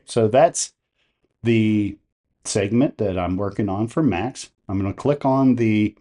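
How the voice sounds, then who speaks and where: A man hosting a tutorial, narrating software steps one by one. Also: fairly low pitch. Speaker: tutorial host.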